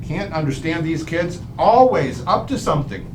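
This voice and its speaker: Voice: mid-range voice, big pitch swings, normal speed, background noise, lightly acted. A performer playing an exasperated, blustering father who sputters indignantly in an old-time radio comedy.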